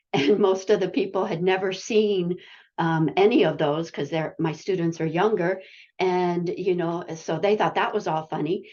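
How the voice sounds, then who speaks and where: A woman's voice: fairly low pitch, a little mellow, lo-fi sound. A woman adult-education teacher casually telling a classroom story on a video call, chatty with lots of fillers. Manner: chatty, many fillers, laughs while telling. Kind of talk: casual anecdote sharing.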